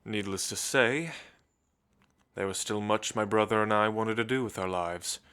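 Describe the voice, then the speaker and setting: A man playing an elegant, centuries-old vampire in an ASMR roleplay, suave and theatrical, with musing pauses. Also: on the low side, a bit bright, clear, resonant tone, close to an everyday voice. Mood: wistful, solemn. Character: centuries-old elegant male vampire, languid, teasing, world-weary.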